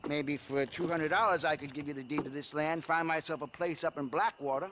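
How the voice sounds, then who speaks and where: An actor playing a cantankerous old homesteader in a western game, grumbling curtly and suspicious of strangers. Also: male voice, fairly high pitch, steady tone, a little fast, close to an everyday voice. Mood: grudging. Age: older. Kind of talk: dramatic video game acting. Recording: clear outdoor noise with crickets.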